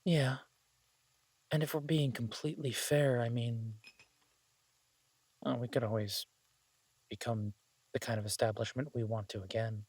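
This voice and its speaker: Male actor as easygoing idealistic chef, slow casual delivery, hesitant pauses, very dry recording, intimate roleplay audio. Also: mid-range voice, fairly even intonation, darkish tone, husky, no room sound. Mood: frank, reflective. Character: laid-back, idealistic male chef, devoted to honest food. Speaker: chef and restaurant owner character in an audio roleplay.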